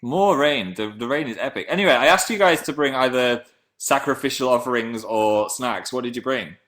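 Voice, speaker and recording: A man in his twenties from England with a deep voice, chatting playfully on a webcam livestream while reading comments. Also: moderate pace, a bit bright. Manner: playful, jumps between high and low pitch. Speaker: livestream host. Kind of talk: casual live chat reading comments.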